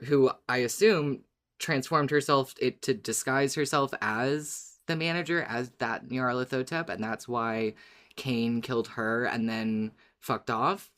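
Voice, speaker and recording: Male co-host, medium pitch, casual fan-discussion tone, dry humor, halting repeated phrase starts. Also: a bit bright. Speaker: podcast co-host.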